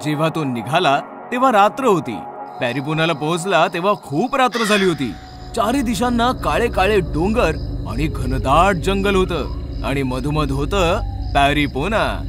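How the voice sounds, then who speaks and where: A man's voice: on the high side, lively intonation, moderate pace. A man narrating a scripted horror story as a storyteller. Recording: music behind the voice.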